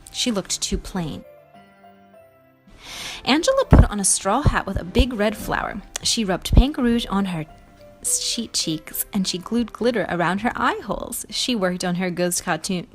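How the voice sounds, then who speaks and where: A woman hosting a read-aloud, telling a storybook to young children with emphatic expression. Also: mid-range voice. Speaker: storybook reader and show host.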